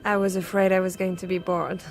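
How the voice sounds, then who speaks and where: A woman's voice: fairly low pitch, flat delivery, fairly quick, soft background music, close to an everyday voice. Worldly dancer woman, played by an actress. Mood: flirtatious, candid. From Serbia. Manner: coquettish, frank, precise formal phrasing. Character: worldly, flirtatious dancer woman, frank and unsentimental. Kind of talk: radio drama acting.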